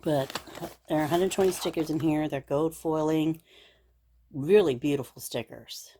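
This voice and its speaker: A woman's voice: sits low, normal speed, mellow. A middle-aged woman hosting a crafting video, chatting and gushing over her supplies with plenty of fillers, her voice a little scratchy from a cold. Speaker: craft channel host. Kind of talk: casual crafting show-and-tell.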